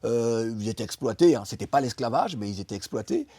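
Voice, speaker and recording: Emphatic mellow-voiced man, slightly husky. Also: medium pitch, expressive, no echo, clean studio sound. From France. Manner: emphatic, many pauses and restarts. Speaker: interview guest. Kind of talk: impassioned political interview talk.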